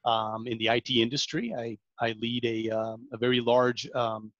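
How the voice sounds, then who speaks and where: A man in his forties from Venezuela, a sales director talking as a podcast guest, halting often with ums and restarts. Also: mid-range voice, steady tone, a bit bright, no room sound, highs cut off. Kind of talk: interview conversation.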